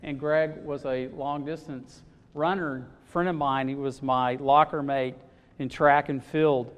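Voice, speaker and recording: A man preaching a sermon in a loud, expressive way, often pausing with fillers. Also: medium pitch. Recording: long hall reverb.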